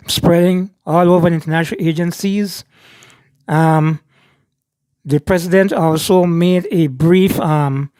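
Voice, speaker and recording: A man with a Guyanese accent giving casual news commentary, reading statements aloud with frequent pauses and fillers. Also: on the high side, dark tone, no room sound. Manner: reads statements aloud, many ums.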